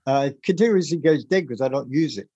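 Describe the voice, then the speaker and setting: A man hosting a podcast about the music business, rambling and animated as he gives advice, with a rough voice. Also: medium pitch, quick pace, highs cut off. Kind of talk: casual advice chat.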